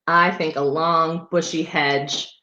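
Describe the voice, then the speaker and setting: A woman's voice: low-pitched, steady tone, takes it slowly, pushed and bright, lo-fi sound. A woman reading a children's picture book aloud, expressively, with chatty asides to young listeners. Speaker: storybook reader for a parks program. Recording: roomy, echoing sound.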